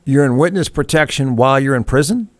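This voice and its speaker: A man's voice: mid-range voice, expressive, brisk, dry recording. A man hosting a talk show interview, asking conversational, probing questions.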